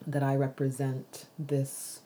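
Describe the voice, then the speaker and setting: A woman's voice: a bass voice, monotone, slow, dark tone, a little hiss or hum. An American woman being interviewed, talking thoughtfully with many restarts and easy laughs. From United States. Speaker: interview guest. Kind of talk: reflective conversational interview.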